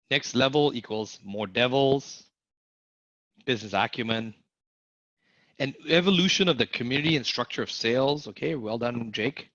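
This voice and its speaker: A man's voice: mid-range voice, no room sound, highs cut off. A man teaching a live online sales class, energetically asking viewers to answer in the chat. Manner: energetic, asks audience to type in the chat. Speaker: sales course instructor.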